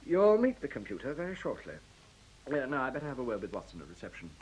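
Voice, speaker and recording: A courteous plant director in a radio drama, officious yet hesitant. His medium-pitched voice rises and falls with lively intonation, over audible hiss on the recording. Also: quick pace, rough recording. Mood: polite, hesitant. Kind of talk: radio play acting.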